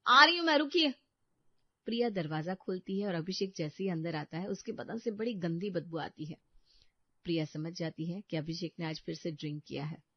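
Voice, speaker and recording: A woman giving expressive, scripted narration for a cartoon moral story. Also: on the low side, a little fast, no room sound. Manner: expressive, dramatic. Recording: dry dull lo-fi studio.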